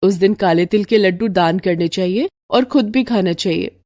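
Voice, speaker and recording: A woman voicing a gentle, devout, humble young mother in a cartoon moral story, speaking softly and patiently. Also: mid-range voice, normal speed, no room sound, studio quality, close to an everyday voice. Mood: gentle, earnest.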